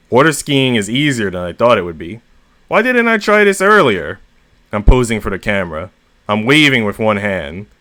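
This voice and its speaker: A man with a fairly low voice reads short stories aloud in the first person. He leans into the emphasis like a storyteller hosting a read-along. The sound is dry and close to the mic. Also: fairly bright tone, no room sound. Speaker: story reader and host.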